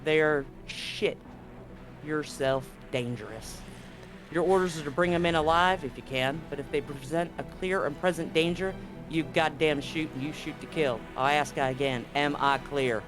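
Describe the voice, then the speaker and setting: A man playing a gruff, profane Marine commander briefing a squad in a tabletop game, blunt and commanding. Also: on the high side, moderate pace, music in the background, lightly acted. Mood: hard warning.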